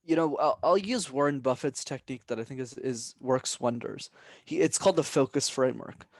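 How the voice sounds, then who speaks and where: A young man as a podcast guest, giving energetic and emphatic advice and often tagging his points with "right?". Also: mid-range voice, a little fast, no echo, studio quality. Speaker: guest, public speaking coach. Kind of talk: casual podcast interview.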